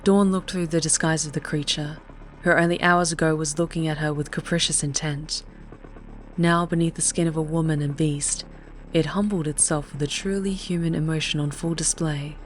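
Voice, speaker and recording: A woman's voice: deep voice, steady tone, normal speed, poor audio. A woman narrator reading a scripted story in a dramatic audiobook style. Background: faint music.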